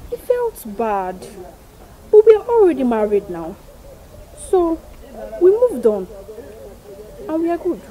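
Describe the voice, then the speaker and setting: A woman's voice: sits high, sing-song, takes it slowly, long pauses, dark tone, exaggerated voice, poor audio. A married woman acting in a skit as a friend who gives persuasive advice. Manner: confiding, persuasive, then apologetic.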